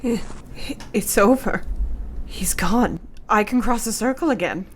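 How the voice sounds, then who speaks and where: A woman's voice: mid-range voice, unhurried, slight background noise, close to an everyday voice. An actress playing an earnest, brave married woman under a vampire's curse in a radio play, with formal period phrasing. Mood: shaken. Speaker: actor. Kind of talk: radio drama acting.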